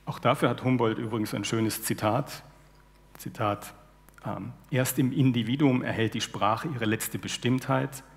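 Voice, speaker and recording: A man's voice: mid-range voice, fairly even intonation, measured pace, vocal fry. A man in his forties, a literary translator, explains his ideas on a panel in a thoughtful, lecture-like way with dry irony, speaking into a headset mic on a stage before an audience. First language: German. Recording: long hall reverb.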